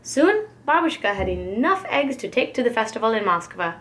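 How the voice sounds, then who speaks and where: Higher-voiced woman reading a storybook. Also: very animated, normal speed, a bit bright. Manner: dramatic, careful storytelling. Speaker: preschool teacher reading a picture book. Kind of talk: expressive storybook narration for young children.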